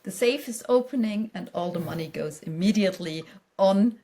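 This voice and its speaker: A woman's voice: mid-range voice, expressive, normal speed, dark tone. A woman who speaks English as a non-native language, answering conversationally as a company executive in a podcast interview. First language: not English.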